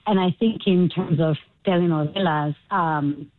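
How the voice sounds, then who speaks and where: A woman from Chile, a stage actor, chatting in an interview with lively asides and laughter. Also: fairly low pitch, measured pace, no echo. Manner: animated, laughs often, acts out quotes. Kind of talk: casual interview chat. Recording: phone-like remote line.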